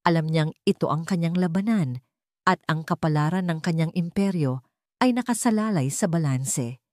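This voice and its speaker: A woman with a Filipino accent narrating a scripted dramatic story in a measured, formal way. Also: low-pitched, darkish tone, no echo, studio quality.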